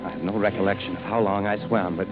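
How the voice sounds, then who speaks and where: An actor playing a cool-headed American adventurer, earnest and well-mannered, in 1940s radio drama style. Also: male voice, low voice, steady tone, quick pace, loud music behind the voice, close to an everyday voice. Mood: grim, tense recounting. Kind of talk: 1940s radio acting and first-person narration. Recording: muffled, very noisy vintage radio recording.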